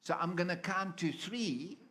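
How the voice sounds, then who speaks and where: A man's voice: sits high, expressive, measured pace, fairly bright tone. A man playing a stern scolding parent. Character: strict parent scolding a child.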